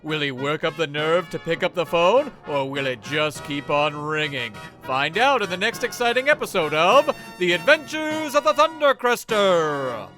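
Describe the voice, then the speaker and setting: A man's voice: fairly high pitch, lively intonation, moderate pace, hardly any pauses, over music. A man narrating and announcing in a scripted, theatrical radio-serial style. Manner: theatrical, presentational.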